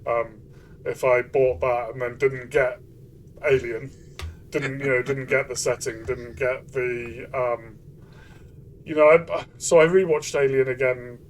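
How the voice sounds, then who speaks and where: A man's voice: medium pitch, steady tone, measured pace, far from the mic, slight background noise. A man co-hosting a casual game review, talking conversationally and hesitantly, repeating phrases as he builds his point. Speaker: co-host of a game review show.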